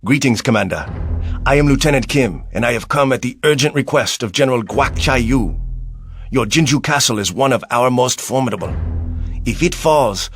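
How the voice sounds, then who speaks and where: An actor playing an earnest, loyal Korean army lieutenant in a video game, speaking formally and dramatically. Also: male voice, medium pitch, animated, normal speed, faint music behind, close to an everyday voice. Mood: respectful, concerned.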